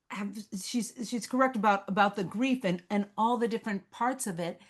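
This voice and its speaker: A woman moderating a script-reading Q&A in a conversational, reflective and encouraging way, passing on audience comments. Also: mid-range voice.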